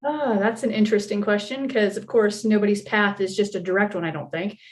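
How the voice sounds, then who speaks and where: Chatty American woman, medium-pitched. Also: steady tone, brisk. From California and Oregon, United States. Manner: chatty, self-deprecating, laughs often. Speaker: interview guest, actress. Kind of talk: casual storytelling chat.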